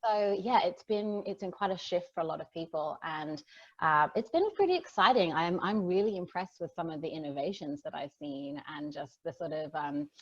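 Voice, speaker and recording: A low-voiced woman hosts in a thoughtful way, often pausing to restart her sentences. The sound is dull and lo-fi. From Australia. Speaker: host, digital marketer. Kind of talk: casual conversation.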